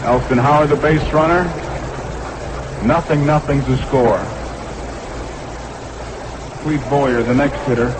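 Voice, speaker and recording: Male baseball announcer, 1960s radio style, mid-pitched, clipped delivery, clearly noisy archival broadcast sound. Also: fairly even intonation, slow, pauses often. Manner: short clipped phrases, repeats the count. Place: ballpark broadcast booth.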